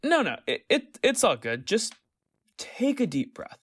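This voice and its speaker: A man's voice: high voice, wildly swooping pitch, unhurried, slightly rough, no echo, studio quality, full character voice. Man acting a sinister corporate interviewer, swooping intonation. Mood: coaxing. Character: smooth, sinister male corporate interviewer, eerily welcoming. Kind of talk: radio play acting.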